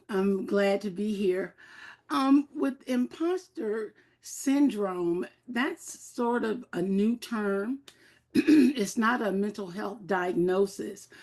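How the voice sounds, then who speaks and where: An older woman, a life transitions coach, speaking slowly and carefully on a panel. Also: medium pitch, darkish tone. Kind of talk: panel discussion.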